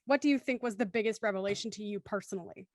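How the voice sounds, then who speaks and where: A woman hosting and moderating a relaxed discussion with a cast of actors. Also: medium pitch, racing, dry recording, clean studio sound. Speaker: host.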